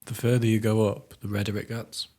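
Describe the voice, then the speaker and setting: A man co-hosting a podcast in casual chat, speaking calmly and explaining things with dry jokes. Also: fairly low pitch, a little fast. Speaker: podcast co-host. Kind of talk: casual chat while tasting hot sauce.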